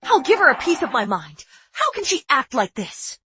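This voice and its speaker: A woman's voice: high-pitched, big pitch swings, moderate pace, pushed and bright, no echo, heavily acted. A middle-aged actress playing an emotional mother who scolds indignantly.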